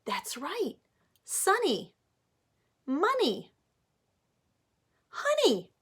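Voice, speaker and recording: A woman's voice: very high-pitched, huge pitch swings, extremely slow, fairly bright tone. A woman speaking as an early-childhood teacher, explaining things simply to children and parents in a craft and read-aloud lesson.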